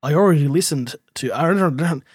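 An Australian man co-hosting a podcast, quick to laugh while chatting and reading out emails. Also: on the high side, husky, no room sound, clean studio sound. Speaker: podcast co-host.

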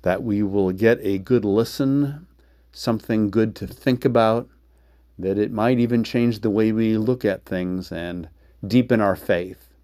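Male American preacher for podcast introduction and prayer: lowish voice, unhurried delivery. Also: dark tone, no room sound, studio quality.

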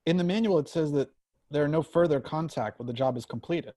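A man's voice: on the high side, a little fast, dark tone, natural voice. A man acting an eager, unsure trainee agent in a screenplay reading, hesitant and full of questions. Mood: anxious questioning.

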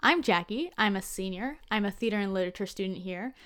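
A woman's voice: medium pitch, lively intonation, fast, fairly bright tone, clean tone. A woman in her early twenties, a student co-host giving a scripted podcast introduction. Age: early 20s.

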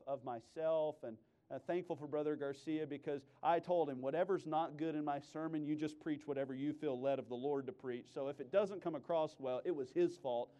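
A man preaching in an emphatic sermon style, with sudden loud exclamations and playful, self-deprecating humor. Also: on the high side, lo-fi sound. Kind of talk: animated sermon with humorous storytelling.

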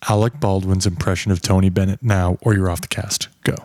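A man's voice: sits low, level tone, fairly quick, darkish tone, no echo, studio quality. A man co-hosting a podcast, teasing and interrupting with profane quips. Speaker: podcast co-host. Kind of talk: casual comedic chat.

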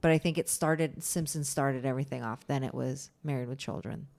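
A woman's voice: a bass voice, fairly even intonation, brisk, creaky, a little mellow. A woman co-hosting a podcast in casual chat, with a soothing voice and a self-deprecating, laughing manner. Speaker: podcast co-host.

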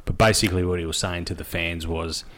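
Man, podcast host, lowish pitch, casual wry chat. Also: fairly even intonation, a bit bright. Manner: chatty, wry, quick to agree. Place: small podcast studio.

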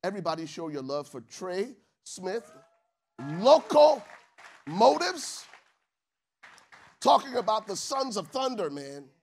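A man from Liberia preaching a sermon to his church congregation, calling for responses and swinging from soft, low asides to shouted peaks. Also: big pitch swings, takes it slowly, long pauses. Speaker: pastor. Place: church stage with live congregation.

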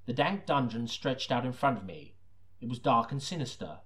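Dramatic hard-boiled detective narrator. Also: male voice, mid-range voice, sing-song, moderate pace, fairly bright tone. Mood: urgent, dramatic. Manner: earnest, dramatic storytelling. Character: deadpan melodramatic hard-boiled detective narrator, secretly a sinister killer. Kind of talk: scripted audiobook narration of a detective story.

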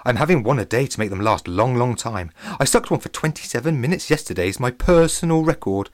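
A man's voice: medium pitch, animated, brisk, dry recording, clean studio sound, natural voice. A man playing an earnest Russian boy penfriend, speaking quaint broken English in a children's story. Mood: cheerful, earnest.

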